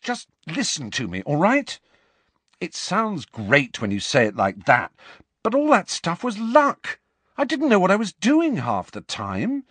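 A man putting on a furious teenage boy who pushes his voice high and loud as he insists he is right. The lines swing up and down with heat and defensiveness. The recording is close and dry, with a slightly breathy edge. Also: wildly swooping pitch, measured pace, dry recording, full character voice. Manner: raised, heated, insistent. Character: angry teenage boy wizard, defensive and heated.

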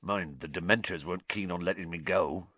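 A man voicing a huge, tearful male groundskeeper who talks in a rough dialect. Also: brisk, bright, forceful tone, dry recording. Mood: haunted. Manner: rough dialect speech, low and mournful.

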